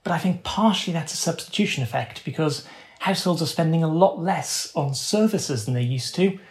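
A man's voice: on the high side, brisk, a little mellow. A man giving conversational economic analysis as an interview guest, explaining in informal, nuanced phrasing.

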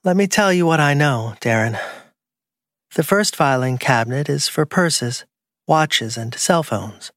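A man's voice: on the high side, expressive, unhurried, fairly bright tone, no echo, studio quality, pitched above the performer's own voice. A man voicing a bitter betrayed woman who confronts her partner with accusing menace in an audiobook crime story. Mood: cold accusation. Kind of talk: audiobook dialogue acting.

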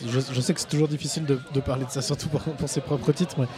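A man's voice: medium pitch, fairly even intonation, fast, music in the background. A man hosting a podcast, interviewing musicians in casual chat and laughing easily, at a folk club bar. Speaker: podcast host. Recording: live bar recording with crowd chatter. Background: crowd chatter.

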